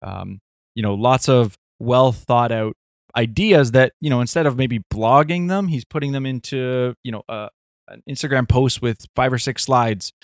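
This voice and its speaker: A man's voice: mid-range voice, moderate pace, no echo, clean studio sound. A man from Canada co-hosting a podcast, talking casually with many fillers and restarts. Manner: rambling, hesitant, filler-heavy. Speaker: podcast co-host.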